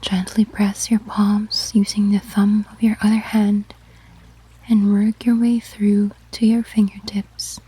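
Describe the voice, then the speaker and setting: Female meditation guide, ASMR style: whispery and very breathy, mellow tone, very slow gentle pacing. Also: mid-range voice, flat delivery, dry recording, low-quality recording. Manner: slow, gentle, measured instructions.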